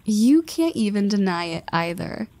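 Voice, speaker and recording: A woman's voice: mid-range voice, big pitch swings, unhurried, a little mellow. A woman voicing a confident young woman who teases her friend, with playful half-whispered asides. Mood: teasing. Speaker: voice actress. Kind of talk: scripted audio roleplay.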